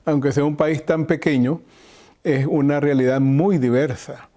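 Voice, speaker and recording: Older Nicaraguan man, mellow voice. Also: on the high side, steady tone, measured pace. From Chinandega, Nicaragua. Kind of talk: reflective interview answers.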